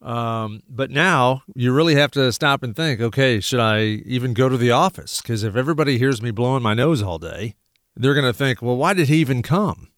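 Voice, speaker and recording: A man's voice: mid-range voice, expressive, moderate pace, a bit bright, no room sound. Stuffed-up guy hosting a radio talk show, rambly, lots of uhs, dry studio sound. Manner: rambling, many fillers and restarts. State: stuffy nose.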